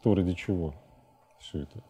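A middle-aged man talking reflectively about his work as an interview guest in a studio, with halting phrasing. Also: sits low, warm tone, breathy edge. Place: TV studio, seated at a desk.

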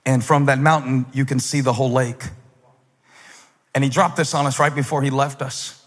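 A man in his forties preaching a sermon on a church stage into a handheld mic, telling a story and then building to loud, fervent emphasis. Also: medium pitch, steady tone, moderate pace. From United States. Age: 40s. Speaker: pastor. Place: large stage in a church hall. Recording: long hall reverb.

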